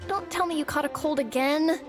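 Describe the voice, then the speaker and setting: A woman voicing a spirited, teasing young superfan in an anime-style game, brisk and matter-of-fact. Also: high-pitched, lightly acted. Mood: confident, teasing. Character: spirited young know-it-all superfan, quick to tease. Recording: game music behind.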